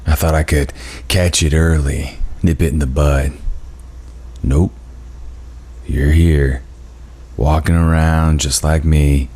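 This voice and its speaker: A cocky, mocking demon voiced by a man at a table read who drawls his taunts in a very deep, unhurried voice. He sounds sly and folksy, needling his listener. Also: fairly even intonation, dark tone, full character voice. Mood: scheming. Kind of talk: table read of a drama script.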